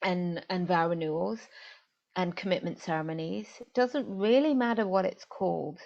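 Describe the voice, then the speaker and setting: A woman's voice: lowish voice, normal speed, creaky, darkish tone, dull audio. A woman interview guest who is an interfaith minister, explaining in an earnest, emphatic way. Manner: earnest, emphatic, repeats for stress.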